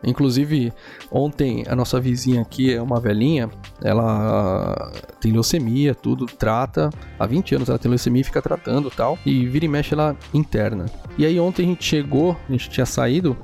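Brazilian male podcaster, gravelly and mellow, loose conversational monologue. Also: medium pitch. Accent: Brazilian Portuguese. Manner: chatty, rambling, frequent "né?" tags. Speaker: podcast host. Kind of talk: casual solo podcast monologue. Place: home in a small town.

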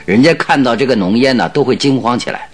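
A male actor plays a clever detective in a radio drama. His middle-pitched voice moves up and down with great animation as he lays out schemes, confident and persuasive. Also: expressive, fast, faint music behind, lightly acted. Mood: confident scheming. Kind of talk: Chinese radio drama acting.

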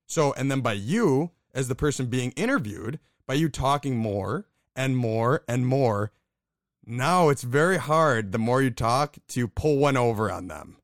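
A man's voice: medium pitch, expressive, measured pace, dry recording. A young man from the Buffalo, New York area talks with a mid-range voice. He tells stories in a loose, candid way and swears now and then. The sound is dry and close to the mic. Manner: storytelling, candid, swears casually. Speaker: podcast co-host. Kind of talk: casual conversational podcast.

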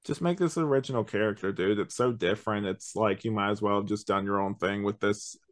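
A man's voice: on the low side, brisk. A man co-hosting a podcast, giving rambling, slangy opinions in a casual review chat. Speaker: podcast co-host.

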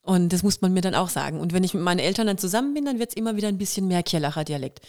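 A woman's voice: fairly low pitch, racing. A woman from Kirrlach in Germany, an actress and singer, telling stories as a guest in a casual podcast interview, with a light hint of Kurpfalz dialect. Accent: light Kurpfalz dialect touches. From Germany, Kirrlach near Waghäusel. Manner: lively storyteller, imitates dialect sounds.

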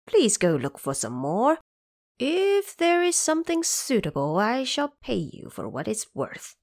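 A woman's voice: on the high side, huge pitch swings, a little slow, a little mellow, dry recording, studio quality, heavily acted. A woman voicing a cocky, impatient male Monkey King who boasts and demands, in an audio drama. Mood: cocky, dismissive.

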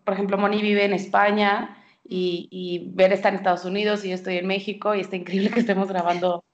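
A woman's voice: mid-range voice, level tone, fast talker. A woman co-hosting a podcast, chatting in a friendly, eager way and asking the guest questions. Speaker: podcast co-host. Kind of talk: casual podcast chat. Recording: slightly dull lo-fi audio.

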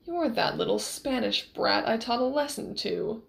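A woman's voice: fairly high pitch, expressive, normal speed, gravelly. A woman voicing a cruel, condescending nobleman who savours his words with a sneer. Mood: gloating.

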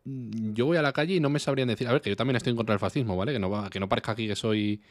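A man's voice: mid-range voice, very fast, dry recording, clean studio sound. Probing podcast man from Spain. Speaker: podcast co-host. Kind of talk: casual conversational debate.

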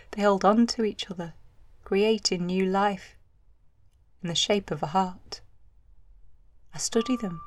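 An actress playing an anguished, determined young mother in 1940s wartime, speaking an intense, poetic first-person monologue in an audio drama. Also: on the low side. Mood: hushed wonder. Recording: music underneath.

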